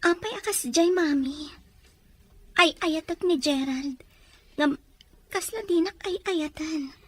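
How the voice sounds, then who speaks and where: A woman's voice: high voice, slow. A woman playing a lovelorn, clingy young student with a Filipino accent in an audio drama. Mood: wistful. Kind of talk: Ilocano audio drama acting.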